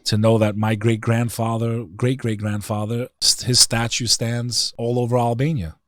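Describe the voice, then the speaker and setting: A man with a very deep voice and a New York accent, talking earnestly and bluntly in an interview with loose slang. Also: flat delivery, normal speed, dry recording, clean studio sound. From United States. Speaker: guest. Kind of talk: casual interview talk.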